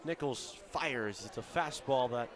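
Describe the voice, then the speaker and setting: A man calling live baseball play-by-play for radio in a fluent, descriptive style that can build to shouts on big plays. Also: mid-range voice, unhurried. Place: outdoor baseball stadium broadcast position. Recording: live ballpark with crowd noise behind. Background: crowd noise.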